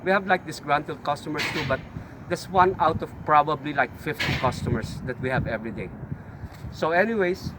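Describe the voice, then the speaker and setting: A middle-aged man with a Filipino accent, owner of an auto shop, talking casually and haltingly with many fillers and restarts as he tours his shop. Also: on the high side. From Philippines. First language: a Philippine language. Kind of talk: casual shop tour vlog. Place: outdoor auto shop lot. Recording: outdoor street and traffic noise.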